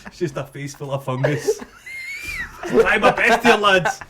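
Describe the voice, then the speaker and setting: A man's voice: high-pitched, expressive, measured pace. A Scottish man co-hosting a podcast in casual, teasing, sweary banter. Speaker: podcast co-host. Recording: clear background noise, clicks.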